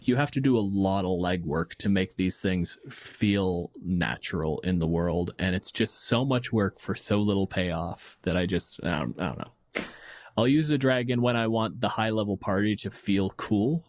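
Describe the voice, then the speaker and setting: A man's voice: deep voice, normal speed, no room sound, clean studio sound. A man co-hosting a podcast in casual discussion of tabletop games, opinionated and freely swearing. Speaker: podcast co-host.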